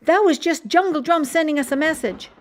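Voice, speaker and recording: A woman's voice: sits high, fairly quick, pitched above the performer's own voice, lightly acted. A woman voicing an imaginative little girl playmate in a children's storybook, explaining things with enthusiasm. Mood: enthusiastic. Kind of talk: children's storybook read-aloud.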